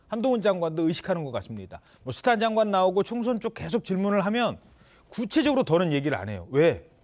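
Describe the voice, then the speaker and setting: A male television news host with a high voice that swings widely as he talks. He tees up stories and questions his panel in a brisk, animated, conversational way. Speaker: news talk show host. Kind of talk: broadcast political talk.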